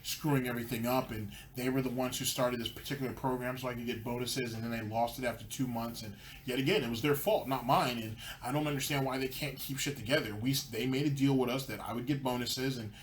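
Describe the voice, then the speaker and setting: A man's voice: medium pitch, fairly even intonation, quick pace, few pauses. Sarcastic ranting man, faint hiss. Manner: sarcastic, mocking. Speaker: commentary channel host. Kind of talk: ranting commentary over clips.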